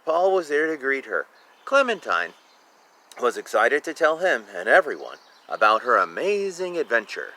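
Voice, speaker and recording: A man's voice: medium pitch, huge pitch swings, normal speed, bright, forceful tone. A man reading a children's picture book aloud expressively and informally, drawing out words and adding casual asides about the pictures. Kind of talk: animated storybook read-aloud with casual asides.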